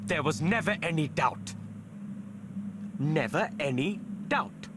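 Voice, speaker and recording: A man's voice: a little high, lively intonation, slow, noisy background. A man playing a younger Oxford scholar in a video game, a loyal partner who speaks precisely and teases dryly. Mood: excited. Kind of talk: dramatic video game acting.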